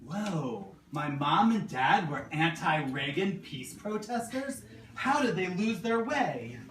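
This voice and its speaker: A man voicing an excitable young time traveler in an amateur comic puppet show, halting and flustered, repeating himself. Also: a little high. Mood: surprised. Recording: echoey distant live recording, very noisy.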